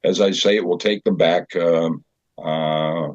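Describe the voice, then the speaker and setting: An older man chatting as an interview guest, telling anecdotes in a halting, conversational storyteller's way. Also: sits low, fairly even intonation, no room sound. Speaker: guest, community theater director and actor. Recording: slightly dull, dry recording.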